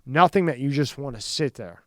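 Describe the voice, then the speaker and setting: A man's voice: mid-range voice, expressive, moderate pace, no echo. A man hosting a podcast in a studio, laid-back and agreeable, swearing casually as he chats. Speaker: podcast host. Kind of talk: casual conversation. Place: studio with a green screen.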